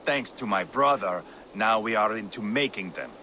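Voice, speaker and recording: An actor playing a seasoned, middle-aged Viking warrior in a video game, blunt, sardonic and crude. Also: male voice, on the low side, animated, normal speed, bright, forceful tone, slight background noise. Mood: wry, bitter. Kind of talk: video game dramatic dialogue.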